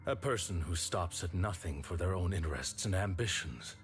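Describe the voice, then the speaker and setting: A calm, low-voiced accuser. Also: male voice, normal speed, faint music behind. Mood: accusing. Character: cool accuser laying out a crime. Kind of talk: video game voice acting.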